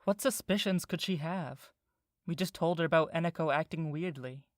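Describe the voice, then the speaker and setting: A woman's voice: deep voice, normal speed, no echo, studio quality, close to an everyday voice. A woman voicing an irritable younger elf brother in a fantasy audio drama, blunt and defensive. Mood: puzzled.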